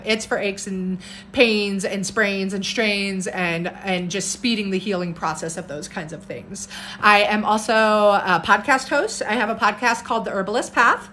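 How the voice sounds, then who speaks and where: A woman in her thirties with a loud voice, an herbalist chatting casually on a phone live stream at home and answering viewer comments in an animated way. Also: mid-range voice, a little hiss or hum. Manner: animated, jumps between topics and viewer comments, upbeat. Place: home room with bookshelves, indoors. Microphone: phone camera at arm's length.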